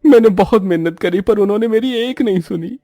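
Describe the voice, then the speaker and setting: A man's voice: high-pitched, a little fast, dark tone, no room sound, clean studio sound, faint music behind, pitched above the performer's own voice. A man voicing a worn-out, apologetic poor father and husband in a moral-story cartoon. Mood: distressed.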